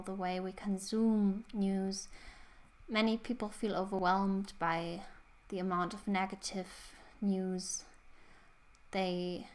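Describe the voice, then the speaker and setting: A young woman, thoughtfully hosting a casual book review. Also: medium pitch. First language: German. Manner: thoughtful, reflective. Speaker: book channel host.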